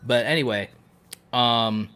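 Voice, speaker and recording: A man's voice: medium pitch, slow pace, pushed and bright, clear, resonant tone. A man chatting casually as a podcast co-host, rambling along with effusive enthusiasm.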